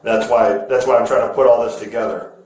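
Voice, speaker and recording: A man's voice: medium pitch, steady tone, a little fast, darkish tone. An American man working as a police officer, questioning and explaining in a matter-of-fact way, often repeating and rephrasing himself. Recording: very echoey body camera audio.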